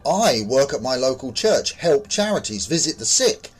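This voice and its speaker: A man's voice: mid-range voice, very animated, moderate pace, slightly rough. A man voicing a prim, fussy, indignant elderly churchgoing lady in a horror story.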